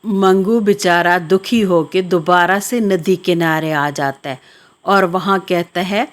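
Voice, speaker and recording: Slow-paced woman narrating a children's story. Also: on the low side, fairly even intonation.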